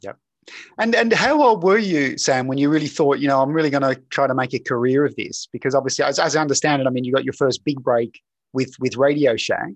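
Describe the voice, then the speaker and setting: A man's voice: mid-range voice, fast talker, no room sound. Australian male host, mid voice, rambling questions, dry close recording. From southeast Australia. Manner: chatty, long winding questions, stammers. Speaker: interviewer. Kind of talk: podcast interview.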